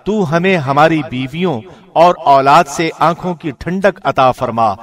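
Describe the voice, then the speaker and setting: Solemn man reading scripture, slightly high pitch. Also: fairly bright tone. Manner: formal, solemn, measured phrasing. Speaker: translation reader, radio host.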